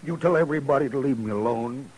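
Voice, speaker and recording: An American actor playing a broken, fearful gunfighter in a 1950s radio western, with a halting, pleading frontier drawl. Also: male voice, medium pitch, a little mellow, husky, lightly acted, poor audio. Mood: desperate, pleading. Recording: old radio recording with faint noise.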